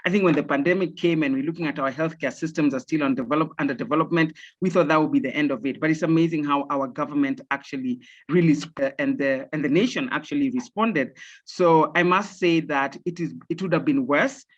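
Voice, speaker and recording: A woman's voice: low-pitched, brisk. Earnest woman on dull lo-fi audio. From Kenya. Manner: earnest, repeats words for emphasis. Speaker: podcast guest, software director. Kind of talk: conversational interview answers.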